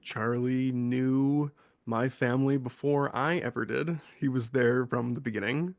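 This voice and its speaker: A man's voice: medium pitch, unhurried, no echo. A young man from western New York hosting a podcast, chatting casually and easily with lots of fillers. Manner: relaxed, filler-heavy, laughs easily. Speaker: podcast host. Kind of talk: casual chat.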